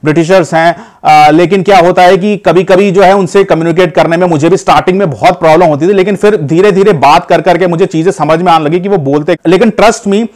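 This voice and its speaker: A man from India talks straight to his audience in an easygoing vlog, his voice sitting noticeably high. He rambles a little, circling back with fillers and restarts, and keeps calling his viewers "guys". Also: fairly quick, few pauses, darkish tone. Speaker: vlogger giving advice. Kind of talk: casual talk to camera.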